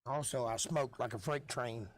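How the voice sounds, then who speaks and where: A middle-aged man talks in a relaxed, folksy way to the viewers of his live stream. His voice is hoarse and worn because he is losing it. He sits at a mid pitch, and the sound is dry, with no room around it. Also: normal speed, dry recording. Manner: laid-back, chatty, folksy. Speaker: live stream host and musician.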